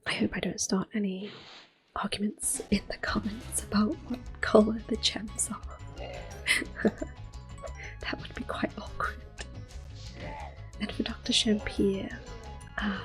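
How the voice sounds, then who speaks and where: A young woman giving calm, soft-spoken ASMR commentary on a game she is playing, drifting often into close whispers with gentle fillers. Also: medium pitch, slow, pauses often. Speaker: ASMR gaming host. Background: faint video game music.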